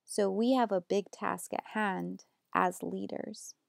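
A young woman from the United States, a graduate student reading a prepared slideshow presentation in a steady, earnest way. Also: mid-range voice, takes it slowly, gravelly, dry recording, studio quality.

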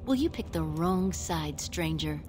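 A woman playing a ruthless, cocky crime boss in a fantasy video game, taunting and commanding over loud music. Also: sits low, animated, a little slow. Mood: scornful, taunting. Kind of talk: dramatic video game acting.

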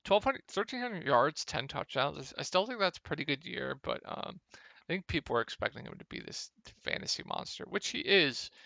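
A young man with a slightly hoarse, gravelly voice, casually talking through fantasy football picks over a screen share, with many ums. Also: a little high, moderate pace, dry recording, lo-fi sound. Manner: relaxed, lots of ums. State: a little hoarse. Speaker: fantasy sports analyst.

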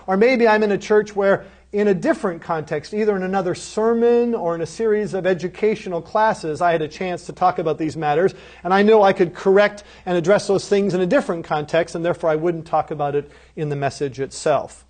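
High-voiced man lecturing on preaching. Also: brisk, few pauses, darkish tone. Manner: earnest, teacherly, asks rhetorical questions. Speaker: seminary lecturer.

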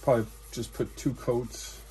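A man in his forties, a guitar builder, calmly explaining each step of his work in a matter-of-fact how-to narration in his workshop. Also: mid-range voice, moderate pace, slight background noise, poor audio. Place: cluttered workshop indoors.

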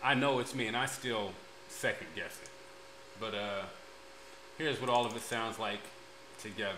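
Laid-back deep-voiced man, echoey room. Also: steady tone, normal speed, sharp tone. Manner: laid-back, rambling, many fillers. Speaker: music producer. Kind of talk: casual software tutorial. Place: home studio room, indoors. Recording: echoey room with background noise.

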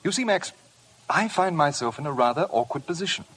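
A man's voice: on the high side, expressive, brisk, fairly bright tone. A suave, insinuating blackmailer in an old radio play. His voice swings up and down with mock politeness, over a noisy vintage broadcast. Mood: smug, needling. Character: smug, insinuating gentleman blackmailer, man. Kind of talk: 1950s radio drama acting.

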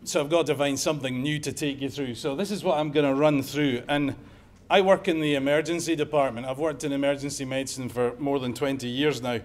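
A man's voice: medium pitch, fairly even intonation, fairly quick, few pauses. A middle-aged man from Scotland with a Scottish accent, giving a conference talk in a lecture room with wry, joking asides. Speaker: emergency medicine professor giving a lecture.

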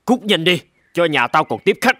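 An actor playing a scornful, hot-tempered rich village man who scolds and sneers in an animated folk tale. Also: a little high, moderate pace, noisy background. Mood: angry, sneering.

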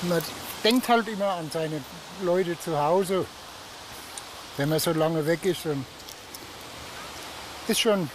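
A man's voice: a little high, slow, long pauses. An older man, a long-haul truck driver, talking hesitantly and sentimentally on a beach, often trailing off. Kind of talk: halting, emotional interview answers. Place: outdoors on a beach. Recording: outdoor beach with noise behind.

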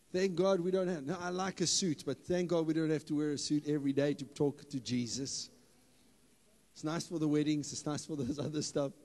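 A man delivers a sermon from a church stage, holding a handheld mic. His voice sits somewhat high and he shifts from easy, joking asides to loud, emphatic declarations. The hall adds a long reverb to every line. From South Africa. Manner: animated, playful, rises to loud emphatic bursts. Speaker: pastor.

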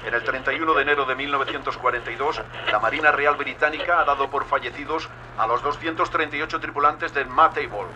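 An actor playing a formal 1940s wartime newsreader, heard through a radio filter. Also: male voice, mid-range voice, fast talker, bright, forceful tone. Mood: formal, grave. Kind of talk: 1940s radio news bulletin. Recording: noisy old wartime radio set.

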